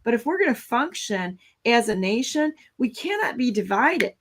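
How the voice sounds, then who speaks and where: A woman's voice: on the high side, sing-song, normal speed. An American woman talk show host giving conversational political commentary in an opinionated, emphatic way. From United States.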